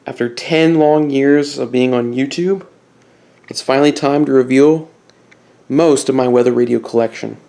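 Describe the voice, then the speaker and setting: Casual man with a creaky voice. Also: medium pitch, normal speed, warm tone. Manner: casual, explanatory. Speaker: YouTube collector and host. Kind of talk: informal product showcase with buying tips.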